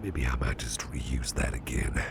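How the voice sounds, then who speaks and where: A man playing a gentle, protective boss in an ASMR roleplay, speaking in a soft, breathy, soothing near-whisper with an unhurried manner. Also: a bass voice, fairly even intonation, background noise, exaggerated voice. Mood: playful, soft. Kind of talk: ASMR roleplay, close personal attention.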